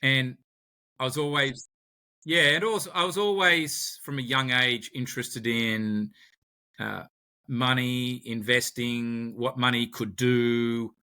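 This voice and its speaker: A man's voice: mid-range voice, fairly even intonation, slow pace, bright, forceful tone, smooth. An Australian man, a podcast guest, talking in a conversational interview and often repeating words as he searches for his phrasing.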